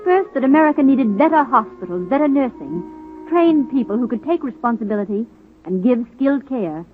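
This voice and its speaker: A woman's voice: on the high side, expressive, brisk. A woman narrating a scripted historical radio program in dramatic 1930s-40s style. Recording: tinny old radio recording. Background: music.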